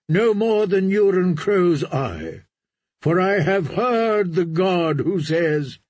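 A stern, zealous prophet of a sea god, preaching slowly and with weight, as a man puts him on. His voice sits a little raised, husky around the edges, and swings widely in pitch as he calls out. The sound is close and dry. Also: no room sound, heavily acted. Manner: solemn, preaching, measured.

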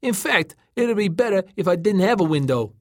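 A man's voice: high voice, slow, fairly bright tone, no echo, clean studio sound, voice pushed up, exaggerated voice. A man voicing a cocky, nerdy young man who teases his friend, as an audiobook character. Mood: smug, careful.

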